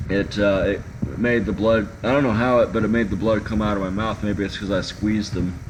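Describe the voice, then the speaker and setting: A man's voice: lowish voice, fairly even intonation, fairly quick. A man hosting a casual livestream chat, joking around and rambling with lots of ums and restarts. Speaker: livestream host reading chat. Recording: clear background hum.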